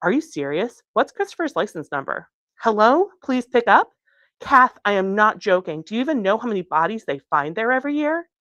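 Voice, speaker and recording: Woman acting out a frightened, high-pitched young woman. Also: very animated, a little fast, bright, forceful tone, dry recording. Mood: alarmed. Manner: urgent, high and tense.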